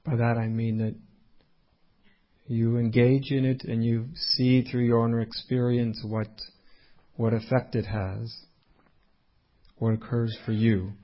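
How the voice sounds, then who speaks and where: A man leading a guided meditation as a teacher, speaking unhurriedly in short phrases with long pauses. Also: mid-range voice, little pitch movement, dull audio.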